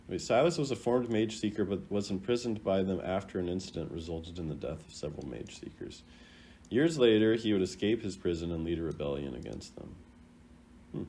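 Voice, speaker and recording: Male video host, casual and opinionated, lower-pitched with lively intonation; slight background noise. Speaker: video host rating game voice lines. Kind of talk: casual off-the-cuff commentary.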